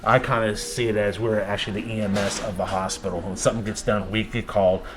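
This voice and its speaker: A man's voice: lowish voice, level tone, fast, noisy background. A man working as a respiratory therapist, explaining his work in a plain, casual way with occasional fillers. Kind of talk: casual workplace interview.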